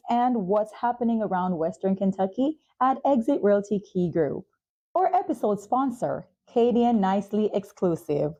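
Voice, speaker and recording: A woman's voice: mid-range voice, mellow. A woman hosting a talk show podcast with a polished presenter's delivery. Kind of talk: scripted sponsor reads and podcast interviewing.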